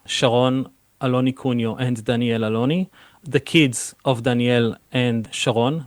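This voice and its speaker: A man's voice: mid-range voice, no room sound. A man with an Israeli accent, a film director answering interview questions earnestly, with frequent "you know" fillers.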